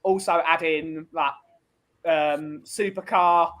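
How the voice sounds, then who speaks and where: A man's voice: fairly high pitch, fairly even intonation, slow pace, a bit bright. A man co-hosting a podcast, chatting casually with emphatic enthusiasm. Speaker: podcast co-host. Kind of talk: casual banter.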